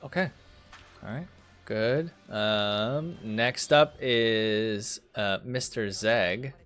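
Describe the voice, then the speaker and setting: A man's voice: on the low side, very slow, a bit bright, smooth, soft background music. A man running a tabletop roleplaying game as game master, giving rulings in casual talk.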